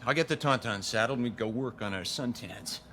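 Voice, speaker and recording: An actor playing a cocky, grumbling smuggler pilot in a radio drama, with a brash, sardonic and informal way of talking. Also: male voice, medium pitch, a little fast, very noisy, close to an everyday voice. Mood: wry, brisk.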